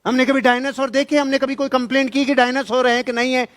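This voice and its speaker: Man putting on an exasperated high-pitched common man. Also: flat delivery, fast, fairly bright tone. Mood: indignant ranting. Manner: indignant, colloquial, scolding. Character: exasperated, scolding common man ranting at the government.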